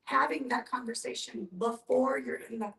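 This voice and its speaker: Female discussion participant, higher pitch, conversational and emphatic, recorded at a distance with noticeable room echo. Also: fairly even intonation, normal speed, creaky, a bit bright. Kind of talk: conversational advice. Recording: echoey room, distant from mic.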